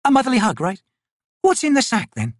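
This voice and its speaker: A narrator playing a gruff, sharp-tongued woman corporal in an audiobook, suspicious and drawling. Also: medium pitch, wildly swooping pitch, brisk, no room sound, clean studio sound, pitched above the performer's own voice. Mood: wry menace. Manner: drawling, snapping, sneering.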